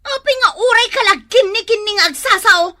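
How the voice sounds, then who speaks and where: An actress in a radio drama playing a fussy middle-aged mother with an Ilocano accent who calls out loudly and exclaims often. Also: very high voice, moderate pace.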